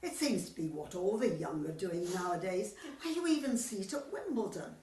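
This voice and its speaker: A sweet, rambling older lady acted by a woman in an amateur radio comedy. She is innocent and wistful, with lively swoops in pitch. The recording is echoey, with a faint noise. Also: brisk, lightly acted. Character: sweet, scatty older woman, fond of her Arthur. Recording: echoey, distant, noisy home recording.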